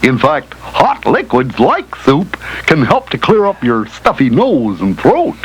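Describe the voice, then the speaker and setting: An actor playing a folksy, emphatic health teacher in an educational cartoon, on an old film soundtrack. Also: male voice, mid-range voice, wildly swooping pitch, slow pace, slightly rough, heavily acted, rough recording. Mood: earnest, advising. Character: animated friendly male health teacher, folksy and instructive. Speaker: explainer guide. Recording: old 16mm film soundtrack, loud music.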